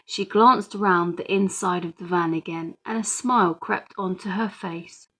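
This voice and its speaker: A woman's voice: fairly low pitch, fairly even intonation, unhurried, bright, forceful tone, husky. A woman reading a children's audiobook as scripted story narration. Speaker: audiobook reader.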